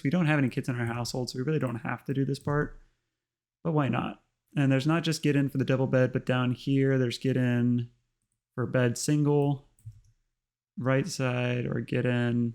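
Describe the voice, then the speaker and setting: Slightly hoarse male host, gravelly, mid pitch, chatty tutorial read with lots of ums. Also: a little mellow. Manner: chatty, full of ums and uhs. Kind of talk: casual screen-recorded tutorial narration.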